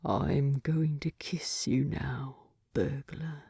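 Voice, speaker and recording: A woman voicing a lovestruck male dwarf king in a storybook, with a gruff tenderness and breathy murmurs. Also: very deep voice, steady tone, extremely slow, mellow, no echo, clean studio sound, pitched below the performer's own voice, full character voice. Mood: solemn, tender.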